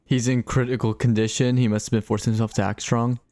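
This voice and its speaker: A man's voice: medium pitch, little pitch movement, quick pace, mellow, no room sound, clean studio sound. A man giving casual live reaction commentary as he streams a game.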